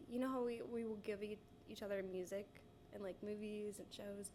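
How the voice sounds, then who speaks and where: A woman's voice: medium pitch, steady tone, normal speed, warm tone, slight background noise. A young woman co-hosting a podcast, stuffy from a cold, chatting in a giggly, rambling way full of "like". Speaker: podcast co-host. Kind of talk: casual chat.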